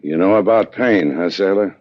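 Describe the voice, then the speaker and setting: An actor playing a tough, stubborn boat skipper in a 1950s radio drama, terse and worn out with pain from a beating. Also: male voice, deep voice, normal speed, lightly acted. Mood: pained, weary. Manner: terse, hard-boiled. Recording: dull vintage 1950s radio recording.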